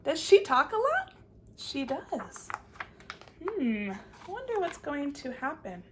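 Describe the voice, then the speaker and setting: Animated high-voiced woman teaching kindergarten. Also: slow, background noise. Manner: encouraging, expressive, addressing young children. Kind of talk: children's read-aloud with questions.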